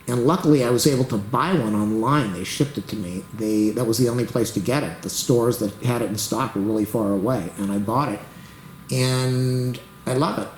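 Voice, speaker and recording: A man hosting a casual computer tutorial, rambling as he waits, losing his voice and clearing his throat. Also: mid-range voice, moderate pace, roomy sound, a little hiss or hum, poor audio. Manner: rambling, talks to the camera while waiting. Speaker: YouTube tech tutorial host. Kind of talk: rambling casual screen-recording commentary.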